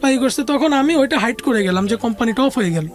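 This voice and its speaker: High-pitched Bangladeshi woman telling her story. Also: expressive, quick pace, soft background music. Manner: conversational, often quoting others' words. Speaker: interview guest. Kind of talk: personal life storytelling.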